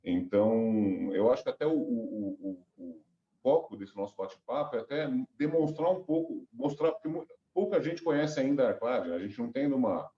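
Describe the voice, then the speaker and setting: A man's voice: mid-range voice, expressive, moderate pace, dark tone, dull audio. Nasal man with a cold, echoey call. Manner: rambling, many restarts and fillers. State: stuffy nose from a cold. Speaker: company director, interview guest. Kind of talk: business interview.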